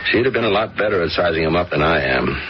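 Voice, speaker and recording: A man's voice: low-pitched, expressive, quick pace. A mild, worried widowed father. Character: middle-aged father, gentle, worried widower. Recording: dull old radio recording with faint hiss.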